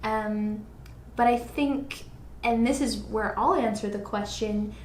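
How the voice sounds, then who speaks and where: High-voiced woman podcaster in an echoey room. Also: slow pace. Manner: earnest, thinking aloud, frequent "like" and "you know". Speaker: podcast host. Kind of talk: casual reflective conversation. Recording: echoey room, faint background noise.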